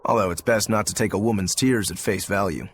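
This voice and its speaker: A man's voice: fairly low pitch, a little fast, a bit bright, slight background noise. An actor playing a laid-back, worldly male demon in a game, speaking with dry wit. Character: easygoing adult male demon, wry and worldly.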